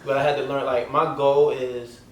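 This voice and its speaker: A man's voice: medium pitch, flat delivery, moderate pace. Man with a light voice, echoey noisy room. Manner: loose and rambling, heavy on filler "like" and street slang. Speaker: podcast host. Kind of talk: casual interview chat. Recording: echoey room, mic at a distance, noisy background.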